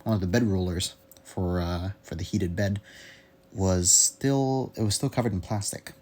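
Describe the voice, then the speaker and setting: A young man giving a casual, unscripted audio log, rambling haltingly as he searches for words. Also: low-pitched, warm tone. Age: young adult. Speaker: hobbyist vlogger.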